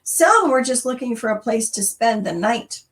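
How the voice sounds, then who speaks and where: Higher-voiced woman hosting storytime, slightly distant. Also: moderate pace. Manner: conversational, expressive, addresses listeners directly. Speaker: church storytime host. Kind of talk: informal devotional talk with story reading.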